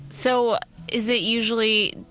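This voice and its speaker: Unhurried, high-voiced woman radio host. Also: fairly even intonation, bright, forceful tone, soft background music. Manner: informal, curious, enthusiastic. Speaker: college radio host interviewing guests. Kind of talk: casual radio interview chat. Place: college radio studio.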